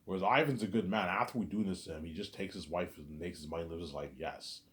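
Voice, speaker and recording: A man talking straight to his audience in a rambling monologue, repeating himself, stumbling over restarts, and letting his asides fade quietly. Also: deep voice, quick pace. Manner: repetitive, stammers on restarts, trails off quietly on asides. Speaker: solo vlogger.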